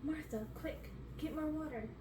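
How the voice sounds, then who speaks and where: A woman voicing excitable, malicious witch sisters in a storybook reading, urgent and theatrical. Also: high voice, moderate pace, voice pushed up, lightly acted. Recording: roomy, distant, clearly noisy bedroom recording.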